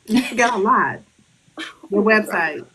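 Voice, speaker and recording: A woman's voice: mid-range voice, expressive, unhurried, sharp tone, slightly rough, rough recording. A woman book club guest in casual chat, dryly prompting the host with reminders.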